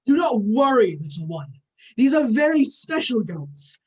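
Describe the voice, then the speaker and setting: A woman's voice: a little high, big pitch swings, unhurried, husky, exaggerated voice, poor audio. A woman playing a courteous but short-tempered male god with formal, grandiose speech in a play reading. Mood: gracious.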